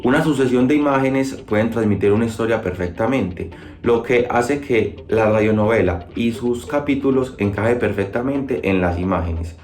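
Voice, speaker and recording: A man's voice: on the low side, moderate pace, background noise. A man giving a prepared, structured opinion as a viewer. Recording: echoey room.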